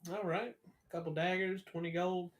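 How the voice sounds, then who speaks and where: A man with a voice a little higher than average plays a tabletop role-playing game. He talks casually, as among friends, thinking out his moves in loose everyday speech. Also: fairly even intonation, moderate pace.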